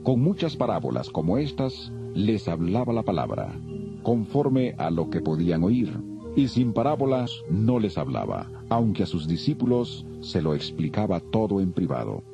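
Deep, expressive male Bible narrator. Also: normal speed, creaky. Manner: solemn, expressive storytelling. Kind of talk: dramatic scripted Bible narration. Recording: dull audio with music bed.

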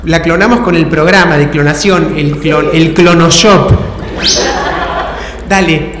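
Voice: medium pitch, steady tone, slow pace, crowd noise. A performer with a Rioplatense accent narrating improvised stage comedy, teasing and emphatic while posing choices. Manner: teasing, emphatic, repeats prompts. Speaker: improv game narrator and player. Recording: echoey hall, clear background noise.

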